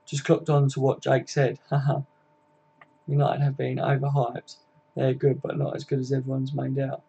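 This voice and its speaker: A man's voice: mid-range voice, monotone, moderate pace, gravelly, warm tone, breathy edge, muffled recording. A man, hosting a casual live stream, chatting matily with viewers and laughing easily. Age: 20s.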